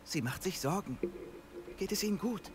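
High-voiced earnest young wizard hero. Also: male voice, lively intonation, normal speed, background noise. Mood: earnest, concerned. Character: earnest teenage male student wizard hero, shouting spells. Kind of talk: video game voice acting. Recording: game sound effects and ambience behind.

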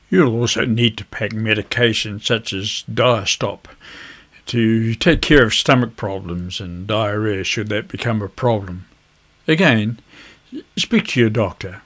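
A man in his seventies giving an instructional talk to camera in a measured, conversational, avuncular way. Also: medium pitch. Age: 70s. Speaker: video host, ham radio hobbyist. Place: indoors at a desk, virtual backdrop.

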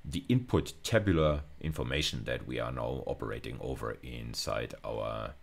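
Very deep, creaky middle-aged man lecturing. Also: moderate pace. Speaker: university lecturer. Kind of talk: informal screencast lecture, explaining code step by step.

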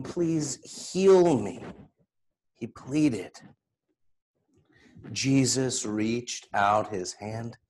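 A middle-aged man, a priest, reading a children's Bible story aloud in an expressive, deliberate way at a desk in a small room. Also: mid-range voice, slightly rough.

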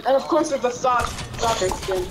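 A woman with a high, lively voice that jumps around as she chats with friends over a game. She exclaims and reacts in an animated way to the play. Clear background noise runs under her voice. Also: moderate pace. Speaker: gaming friend. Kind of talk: casual gameplay chat.

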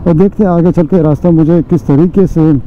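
A man's voice: fairly high pitch, steady tone, fairly quick. A man in his forties, a motovlogger, chatting casually to viewers while riding his motorcycle outdoors on a helmet camera mic. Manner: chatty, addresses viewers as friends, many fillers. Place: outdoors on a motorcycle on city and village roads. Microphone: helmet or bike-mounted action camera mic. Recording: dull lo-fi outdoor riding audio with faint road noise. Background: faint traffic and road noise.

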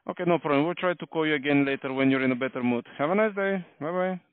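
A man's voice: a little high, fast. A man working as a call center agent, arguing on a phone call. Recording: dry narrow phone line.

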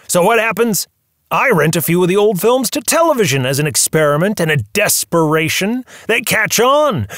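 A man's voice: high voice, a bit bright, no echo, clean studio sound, voice pushed up. A man voicing a ranting old movie tycoon. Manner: ranting, sneering, self-pitying. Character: aging furious male movie tycoon, paranoid and bitter. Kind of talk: audiobook character voice.

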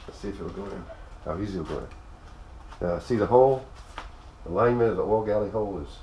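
A man's voice: fairly low pitch, fast talker. A man in his fifties talking himself through an engine repair in his home garage, muttering as he works, recorded by a camera some distance away. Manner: muttering to himself while working with tools. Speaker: home mechanic. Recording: echoey garage with loud tool noise.

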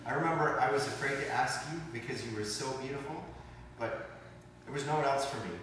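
A man's voice: mid-range voice, brisk, a bit bright, lightly acted. A man playing a resigned, bedridden dying man in an amateur stage comedy, speaking matter-of-factly. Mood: fond. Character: resigned, frank dying man confined to bed. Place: large rehearsal stage set, camera far off. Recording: echoey hall from far off, noisy.

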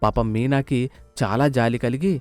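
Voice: mid-range voice, fairly even intonation, normal speed, a little mellow, soft background music. A narrator reading a scripted moral story.

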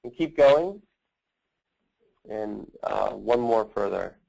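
Man presenting a halting product demo. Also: fairly low pitch, expressive, gravelly, warm tone, poor audio. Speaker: company representative presenting a demo. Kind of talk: conference call product demo.

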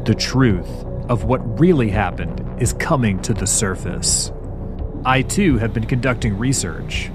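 A man narrating a scripted horror mystery in an ominous, dramatic storytelling manner. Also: mid-range voice. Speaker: host and narrator. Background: background music.

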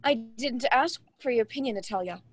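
A woman's voice: a little high, fairly quick, no room sound, close to an everyday voice. A young woman acting in a radio play as a scheming, haughty society hostess, condescending and taunting. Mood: defiant, taunting. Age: young adult.

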